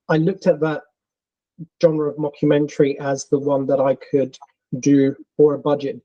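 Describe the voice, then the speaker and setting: A man's voice: fairly high pitch, warm tone, highs cut off. A young adult man with a London accent, a filmmaker answering interview questions with many ums and restarts. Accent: London English. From England. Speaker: filmmaker guest.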